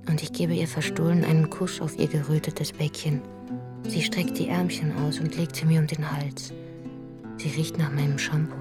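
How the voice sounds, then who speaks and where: An actress playing an intense, unhappy, spiritually searching wife in a radio drama, speaking in an earnest way. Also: female voice, low voice, level tone, normal speed, over loud music, natural voice. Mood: tender, wistful.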